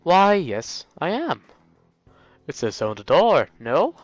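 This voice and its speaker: A man's voice: a little high, big pitch swings, slow, no room sound, muffled recording, pitched above the performer's own voice, heavily acted. Man voicing a friendly, breezy young male nurse.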